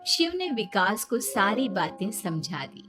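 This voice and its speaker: A woman's voice: medium pitch, very animated, unhurried, music in the background. A woman narrating a scripted children's story as its storyteller.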